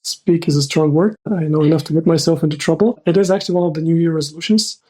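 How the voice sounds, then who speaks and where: A middle-aged man speaking as an interview guest, explaining things in a measured, structured way with careful definitions. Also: fairly high pitch, steady tone, mellow. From Bulgaria. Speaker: podcast guest, risk manager.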